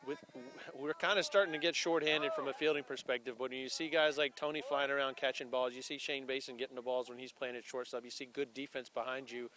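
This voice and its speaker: A man's voice: mid-range voice, fairly even intonation, fast, few pauses, bright, forceful tone, poor audio. A man interviewing two college baseball players after a game, asking rambling questions with restarts, outdoors beside the field. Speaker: postgame interviewer. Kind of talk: postgame interview.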